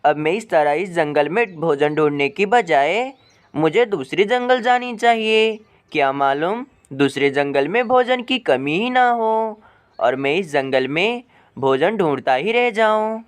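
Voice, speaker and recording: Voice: medium pitch, animated, unhurried, voice pushed down, lightly acted. A narrator voicing a caring, hardworking mother bird in a cartoon story, talking earnestly to herself. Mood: determined, worried. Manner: earnest self-talk. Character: worried, hardworking mother sparrow, caring and determined.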